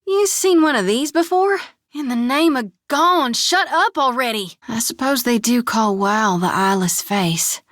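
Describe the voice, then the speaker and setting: Folksy young priestess, plainspoken, slightly high voice, dry close recording. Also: female voice, lively intonation, unhurried, fairly bright tone, no room sound. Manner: folksy, plainspoken, lots of "ain't" and "well, hell". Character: devout young female priest, folksy and earnest. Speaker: party companion. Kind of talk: video game voice lines.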